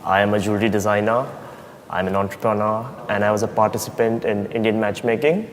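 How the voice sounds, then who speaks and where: A man in his thirties telling an animated anecdote in a panel debate. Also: on the low side, quick pace, a little hiss or hum. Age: 30s. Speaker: debate participant. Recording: reverberant studio hall.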